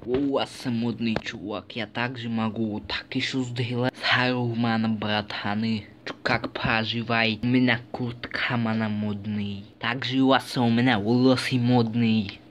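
A man's voice: mid-range voice, little pitch movement, a little slow, hardly any pauses, fairly bright tone, natural voice. A man voicing a boastful, goofy toy figure, peppering an improvised amateur cartoon with a repeated slang filler word. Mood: boastful, playful. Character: boastful goofy Tajik toy guy with magic powers. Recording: noisy amateur home recording.

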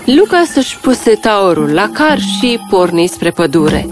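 A woman narrates a fairy tale in a scripted storytelling style. Music plays clearly behind her mid-pitched voice. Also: very animated.

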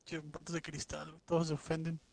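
A man's voice: a little high, completely flat delivery, quick pace, creaky, a little mellow. A man in his twenties talking casually with friends over gaming chat. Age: 20s. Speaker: player in a squad.

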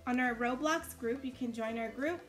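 A woman's voice: on the high side, normal speed, loud music behind the voice. A woman giving casual, encouraging commentary as host of a game build tour.

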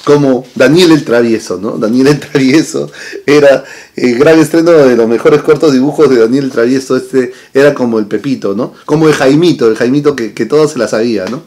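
A man, chatting casually to camera as a vlog host in a room full of toy shelves, lively and full of tag questions. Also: mid-range voice, brisk. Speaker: channel host. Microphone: fixed camera at desk distance.

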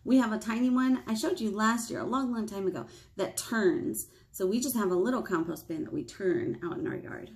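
A woman's voice: mid-range voice, brisk, few pauses, a little mellow. A woman in her thirties, a children's librarian leading storytime, speaking directly to preschoolers with questions and simple explanations. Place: small indoor room at a library.

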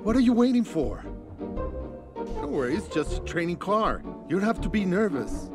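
A hot-tempered driving instructor. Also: male voice, sits high, big pitch swings, quick pace. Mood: coaxing. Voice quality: shouting, exasperated. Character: excitable male driving instructor, quick-tempered. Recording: music underneath.

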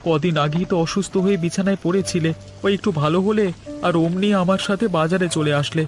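A man acts as an anxious, dutiful young family man in a cartoon. His high voice is earnest and cautioning, with music faintly behind. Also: fairly even intonation, fast talker, close to an everyday voice. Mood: concerned. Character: poor, dutiful, anxious son and young husband. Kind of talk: cartoon story voice acting.

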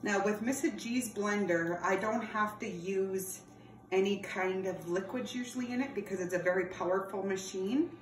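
A woman's voice: mid-range voice, normal speed. A woman in her thirties hosting a cooking class for children in a home kitchen. She explains each step as she works and calls her viewers friends. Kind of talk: cooking demonstration for kids. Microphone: camera across the counter, no visible mic. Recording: roomy, distant camera audio with background noise.

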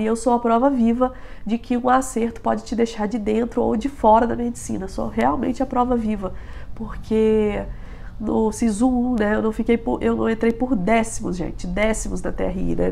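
A woman's voice: a little high, gravelly, slight background noise. Chatty woman with a stuffy cold voice. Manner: chatty, lots of filler tags, animated hand gestures. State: nasal from a head cold. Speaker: vlogger. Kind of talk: casual study-advice vlog. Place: small bedroom indoors.

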